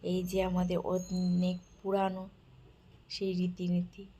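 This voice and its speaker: A woman giving an admiring, soft-spoken narrated walk through an exhibit, now and then dropping to a whisper. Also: on the low side, monotone, slow pace, slightly rough. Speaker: vlogger touring a village-life exhibit. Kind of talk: casual narrated walkthrough.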